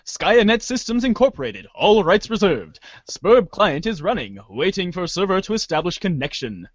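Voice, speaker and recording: A man's voice: sits high, sing-song, moderate pace, dry recording, lo-fi sound. A man voicing a formal computer program that announces system status in a stilted way. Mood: mechanical.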